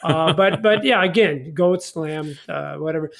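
A man's voice: on the high side, measured pace, creaky, fairly bright tone. A man leading a conversational Bible study in a folksy, informal way, often putting leading questions to his partner. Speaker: host of a Bible study discussion.

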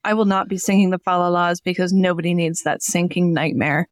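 A woman's voice: lowish voice, fairly even intonation, a little fast, no room sound. A woman co-host chatting casually, with a dry and blunt manner. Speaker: podcast co-host and performer. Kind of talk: casual chat.

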